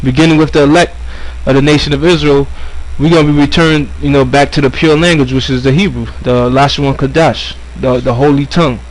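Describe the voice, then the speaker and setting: A man's voice: mid-range voice, steady tone, brisk, hardly any pauses, rough recording. A man giving casual religious commentary, earnest and repeating himself often. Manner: earnest, repeats words, says "all right" often. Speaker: co-host.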